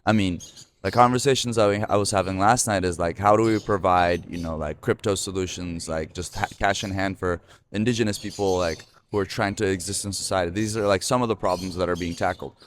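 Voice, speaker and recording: A man's voice: low-pitched, steady tone, a little fast, slight background noise. A man in his thirties hosting a podcast, chatting loosely with lots of fillers and restarts. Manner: rambling, many fillers and restarts. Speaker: podcast host. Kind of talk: casual conversation.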